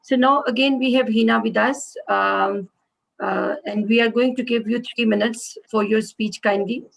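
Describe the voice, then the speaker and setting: A woman hosts an online meeting over a video call. Her fairly high voice carries a gravelly fry, and she pauses often with fillers while inviting speakers politely. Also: steady tone, a little hiss or hum, poor audio. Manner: polite, many hesitations and fillers. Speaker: meeting moderator.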